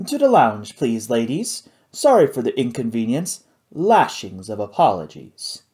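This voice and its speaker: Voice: medium pitch, huge pitch swings, normal speed, warm tone. Reader as a huge pirate with a posh British accent. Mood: polite. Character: hulking male pirate with a refined British accent.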